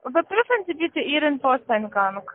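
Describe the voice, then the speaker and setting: A woman with a foreign accent, not a native German speaker, giving halting, insistent instructions as a call-centre caller. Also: high voice, very animated, slight background noise. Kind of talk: scripted phone instructions, spelling out slowly. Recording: tinny, narrow-band phone line.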